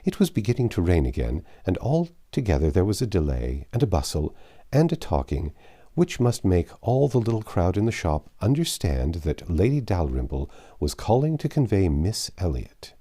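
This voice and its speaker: Guy narrating an old novel, really deep and soft-toned, measured expressive storytelling. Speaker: audiobook narrator. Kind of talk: literary novel reading, scripted narration.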